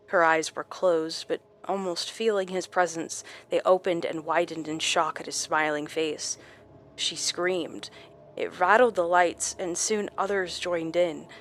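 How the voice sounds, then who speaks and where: An actress playing a shaken woman recounting her captivity in a horror audio drama, halting and dramatic with deliberate pauses. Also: sits low, a bit bright, slight background noise. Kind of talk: first-person horror audio drama narration.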